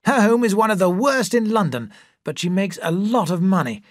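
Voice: medium pitch, very animated, normal speed, no echo, clean studio sound, voice pushed up. A narrator voicing a scheming society doctor in an audiobook, coaxing and conspiratorial. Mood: persuasive. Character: scheming male society doctor, smooth and persuasive. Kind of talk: audiobook character voice.

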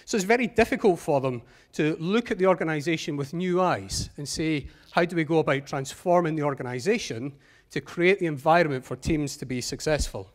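A middle-aged Scottish man giving a measured, earnest talk on a large auditorium stage through a headset mic. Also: a little high. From Scotland. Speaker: conference speaker.

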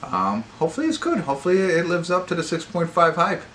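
A middle-aged American man giving a casual, unscripted movie review in a halting, rambling way, often correcting himself. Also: fairly high pitch, wildly swooping pitch, fairly bright tone. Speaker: amateur movie reviewer and host. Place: small indoor wood-panelled room at home. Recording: roomy echo with faint background noise.

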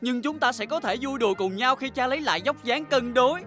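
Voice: high voice, a little fast, sharp tone, soft background music. An actor playing an earnest, kindly young boy prince in a Vietnamese cartoon dub, pleading and sincere. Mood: resolute.

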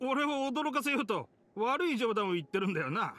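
An actor playing a rough-spoken, loyal young gentleman in a Japanese video game, blunt and emotive. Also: very high voice, big pitch swings, a little fast, exaggerated voice. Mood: disbelieving. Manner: emotive, blunt casual speech.

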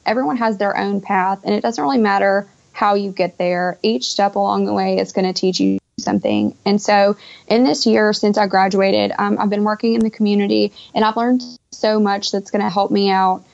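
A woman's voice: mid-range voice, moderate pace, vocal fry, a little mellow. A young adult woman answering conversationally as a podcast guest, speaking over an internet call. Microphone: Skype call. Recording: dull lo-fi internet call audio.

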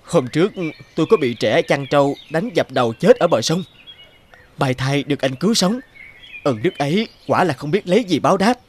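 A man's voice: fairly high pitch, lively intonation, normal speed, slight background noise, natural voice. A man voicing a courteous, grateful young dragon prince in a cartoon, speaking formally. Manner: polite, formal.

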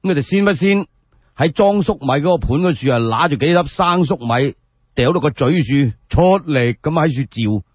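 A man's voice: a little high, animated, moderate pace, dry recording, dull audio. A man with a Cantonese accent telling historical tales as a solo radio storyteller, colloquial and dramatic.

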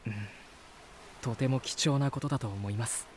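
A man's voice: mid-range voice, normal speed, lightly acted. An actor playing a polite, curious male visitor in an anime-style voice drama, both talking and narrating earnestly.

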